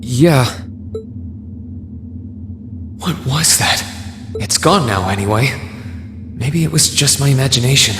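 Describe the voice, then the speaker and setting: A man's voice: mid-range voice, lively intonation, moderate pace, close to an everyday voice. An actor playing a polite, loyal and resolute young male student in a video game, speaking sincerely. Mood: tentative. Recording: music behind the voice.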